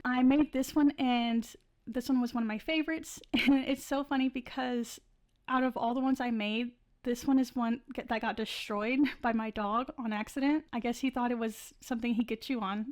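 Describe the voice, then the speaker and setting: A young woman narrating her drawing process in a casual, chatty way, her voice a bit scratchy from the flu. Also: on the high side. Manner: chatty, many likes and restarts, laughs often. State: scratchy voice, getting over flu. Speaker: art channel host.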